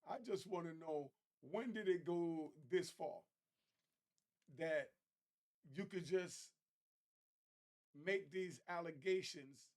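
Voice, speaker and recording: A man giving casual reaction commentary as a channel host, speaking colloquially in halting, emphatic, incredulous phrases. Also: fairly high pitch, a little mellow.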